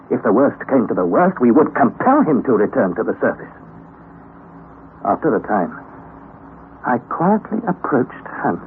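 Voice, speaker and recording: An actor playing an anxious young nephew who narrates a perilous expedition in an earnest, theatrical old-time radio style. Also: male voice, medium pitch, natural voice. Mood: uneasy. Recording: tinny, noisy old radio recording.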